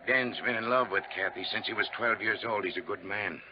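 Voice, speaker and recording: A male actor plays an older American country father, folksy and plain-spoken, fond of a nip. His lowish voice rambles in a homespun way, in the style of 1950s radio drama, on a muffled recording with clear background noise. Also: fairly quick, natural voice. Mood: worried, earnest.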